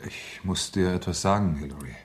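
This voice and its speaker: Actor as a low-voiced, reassuring husband. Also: male voice, natural voice. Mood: grave, careful. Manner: measured, reassuring, slightly hesitant. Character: soothing, evasive husband in a crime thriller. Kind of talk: German radio drama acting.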